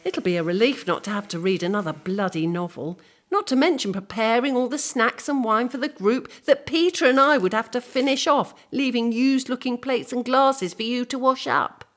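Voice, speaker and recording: A woman's voice: on the high side, moderate pace, close to an everyday voice. A middle-aged woman playing an earnest, exasperated wife in a comic audio play, speaking in an emphatic, insistent way. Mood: matter-of-fact. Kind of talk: two-hander comic audio play dialogue.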